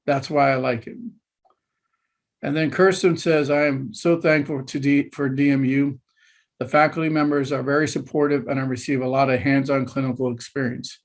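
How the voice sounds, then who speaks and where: A man presenting a webinar, talking informally and haltingly with many pauses. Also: mid-range voice, fairly even intonation. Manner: halting, many fillers and restarts.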